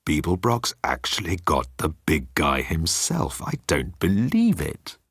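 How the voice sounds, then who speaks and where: A man's voice: rumbling low, wildly swooping pitch, a little slow, gravelly, fairly bright tone, slightly rough, no room sound, heavily acted. A man voicing a world-weary immortal alien with a dry, sardonic manner in an audiobook. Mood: wry disbelief.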